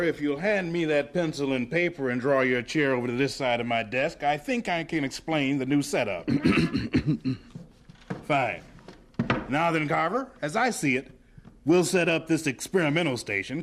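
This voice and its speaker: An actor playing a genial, diplomatic head of an institute in a radio drama, speaking with persuasive authority. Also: male voice, a little high, animated, measured pace, background noise. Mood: brisk, encouraging.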